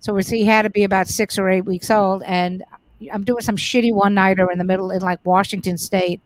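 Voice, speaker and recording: A woman's voice: medium pitch, steady tone, brisk, rough recording. A middle-aged woman comedian telling stories from her life in a casual interview, frank and profane, often restarting her sentences. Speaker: comedian guest.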